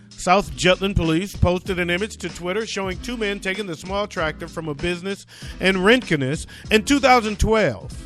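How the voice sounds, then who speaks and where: A man hosts a comic news podcast with a fairly high voice. He reads out strange crime stories and breaks in with sarcastic jokes. Faint music plays behind him. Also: fairly bright tone. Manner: sarcastic, joking asides. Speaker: podcast host.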